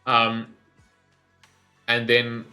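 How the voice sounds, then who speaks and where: A man's voice: mid-range voice, monotone, very slow, sharp tone, faint music behind. A man giving casual gameplay commentary, rambling as he thinks aloud and swearing freely. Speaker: gaming streamer recording a reaction video.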